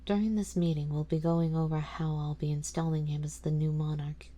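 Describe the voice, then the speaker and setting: A woman playing an ancient, wealthy female vampire in an ASMR roleplay, speaking softly and intimately in formal, measured words, composed and quietly possessive. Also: deep voice, flat delivery. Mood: composed, businesslike. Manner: slow, soft, intimate, breathy delivery drifting into whispers. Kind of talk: ASMR roleplay audio drama, addressing the listener.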